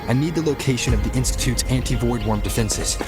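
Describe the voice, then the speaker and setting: A man playing a determined, quick-thinking young student hero in a video game. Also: medium pitch, lightly acted. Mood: resolute. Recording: echoey, loud music behind.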